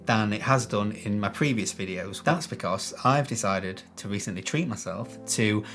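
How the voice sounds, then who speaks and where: A man with a low, bassy voice walks viewers through an editing trick in a chatty, self-deprecating tutorial. He is recorded on a shotgun microphone in a small room with some echo. Faint music plays softly underneath. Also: moderate pace. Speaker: video tutorial host. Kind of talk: casual tutorial talk to camera.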